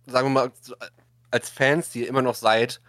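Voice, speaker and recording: A man hosting a casual interview, asking questions in a halting way as he searches for words. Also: medium pitch, level tone, fairly bright tone, dry recording. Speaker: host, interviewer.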